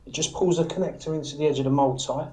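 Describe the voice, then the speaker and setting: British man, higher-pitched voice, casual demonstrator. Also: steady tone, quick pace, dark tone, rough recording. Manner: informal, often checks in with "all right?" and "okay?". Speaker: antenna maker demonstrating his workshop process. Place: small workshop, indoors.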